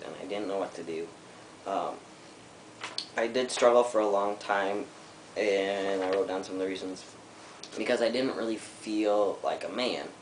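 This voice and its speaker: A young man with a very low voice talks candidly into a webcam, reflective and halting, often stopping to search for words. The room sounds echoey, with a faint hiss under him. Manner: candid, reflective, hesitant with many ums. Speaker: vlogger. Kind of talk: personal storytelling to camera.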